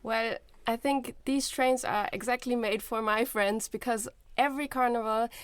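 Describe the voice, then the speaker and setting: A woman's voice: fairly high pitch, clear, resonant tone, no room sound. A young woman talking conversationally as a co-host of a student podcast. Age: young adult. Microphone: close podcast microphone.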